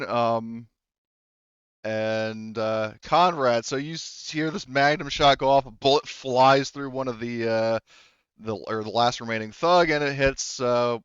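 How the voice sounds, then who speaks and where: A man's voice: mid-range voice, a little slow, clean tone, no echo, dull audio. A man acting as game master for a tabletop roleplaying game, improvising narration and thinking aloud as he works out the rules. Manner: hesitant, thinking aloud while working out rules.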